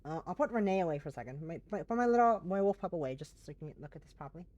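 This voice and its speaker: A woman streamer chatting casually with her viewers, her voice hoarse and fading and her nose blocked, talking in bursts with lots of restarts. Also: on the low side, animated, rapid-fire, no echo. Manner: chatty and warm to chat, frequent restarts. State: hoarse, voice going.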